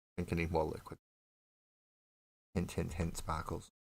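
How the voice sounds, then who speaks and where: A man's voice: deep voice, monotone, moderate pace, vocal fry, slightly rough. A man hosting a model painting livestream, chatting casually with viewers as he builds, with a morning cough and frequent laughs. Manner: chatty, laughs often, talks to viewers in chat. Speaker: mini painting livestream host.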